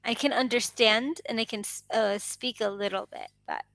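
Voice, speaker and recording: A woman's voice: on the high side, normal speed, creaky, a bit bright, no echo, poor audio. A woman with an American accent chatting casually as an English tutor, encouraging and responsive with frequent affirmations. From United States. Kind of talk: casual one-on-one conversation practice. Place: online video lesson, indoors.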